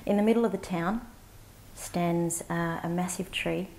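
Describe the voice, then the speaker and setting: Soft-spoken middle-aged woman from central australia, kinda low voice, slight hiss, chatting to a big conference crowd. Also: steady tone. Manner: warm, reflective, halting with fillers and restarts. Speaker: panelist, CEO of an advocacy organisation. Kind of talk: storytelling conference talk. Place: conference stage before a large audience, indoors.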